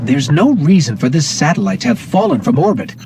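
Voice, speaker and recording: An actor playing a curious, excitable small male robot companion in a video game, earnest and inquisitive. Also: a little high, fairly quick, vocal fry. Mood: puzzled. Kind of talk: video game cutscene acting. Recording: loud music behind the voice.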